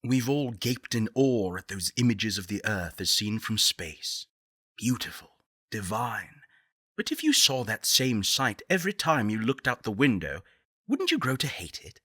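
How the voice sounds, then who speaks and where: A man playing a solemn, visionary director of a space exodus faction in a video game, speaking in measured, eloquent oratory with dramatic pauses. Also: lowish voice, big pitch swings, sharp tone, no echo, studio quality, heavily acted. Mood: grave, musing. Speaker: faction leader.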